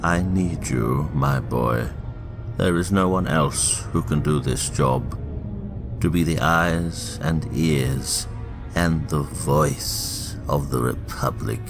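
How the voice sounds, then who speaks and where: A man in a fan audio drama playing an elderly, cunning politician, speaking in a measured, theatrical, insinuating way with a gravelly voice. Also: rumbling low, full character voice. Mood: warm, coaxing. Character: elderly, cunning politician, smooth, avuncular and manipulative. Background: music.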